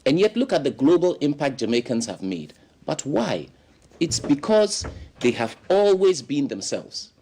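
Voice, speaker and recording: Animated man, lively storyteller. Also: a little high, measured pace, a little hiss or hum. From Ghana. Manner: expansive, animated storytelling with many "you know"s. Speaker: guest. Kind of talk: studio interview.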